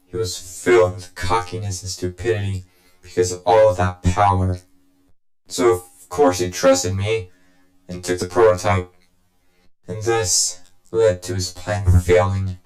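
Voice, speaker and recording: Man playing a low, echoey scheming villain. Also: fairly even intonation, unhurried, darkish tone, faint music behind. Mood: brooding, scornful. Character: scheming, vengeful villain brother, smug and cold. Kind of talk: dramatic video game cutscene monologue.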